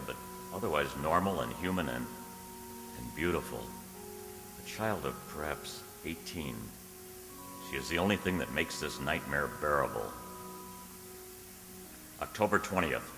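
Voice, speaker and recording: A man acting as an anxious, romantic captive in a radio play. His very deep voice has a dramatic diary-like delivery. It resounds in an echoey hall, with music behind. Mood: tender. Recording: echoey hall, long reverb.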